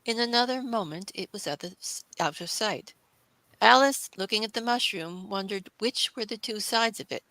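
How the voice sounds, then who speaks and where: A woman's voice: mid-range voice, moderate pace, bright, forceful tone, no room sound, poor audio. A woman reading scripted storybook narration as the narrator of a classic tale.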